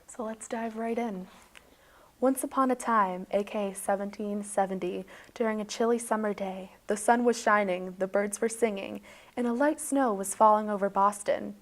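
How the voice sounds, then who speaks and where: A woman's voice: mid-range voice, moderate pace. A woman hosting a video, narrating a tongue-in-cheek bedtime story in a mock-serious, dramatic way.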